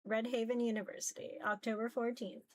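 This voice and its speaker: A woman reading a visual novel aloud in an expressive first-person narration, occasionally stumbling over words. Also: fairly high pitch, fairly quick, a little hiss or hum. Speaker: VTuber reading a game aloud. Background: music.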